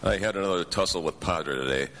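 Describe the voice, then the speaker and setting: A man's voice: sits low, fast, a bit bright. An actor playing a kindly, tired older father in a live radio drama. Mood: gentle, weary. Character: weary, kindly older father running a small business. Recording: faintly noisy live radio stage broadcast.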